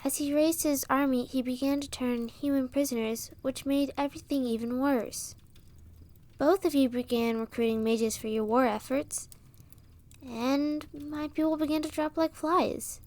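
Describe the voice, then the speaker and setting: Woman acting high-voiced, earnest young village woman. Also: steady tone, normal speed, a little mellow. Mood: troubled, hesitant. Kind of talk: audio roleplay acting, storytelling dialogue.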